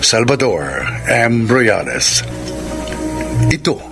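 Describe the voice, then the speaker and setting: A man's voice: medium pitch, big pitch swings, very slow, vocal fry, over music. A man with a Filipino accent announcing a radio drama in solemn, declamatory scripted narration.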